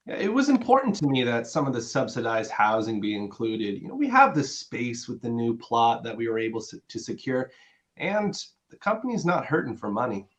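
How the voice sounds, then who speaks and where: A man's voice: medium pitch, big pitch swings, brisk, natural voice. A man playing an affable, self-satisfied businessman and boyfriend in a dramatic play reading. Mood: genial, proud.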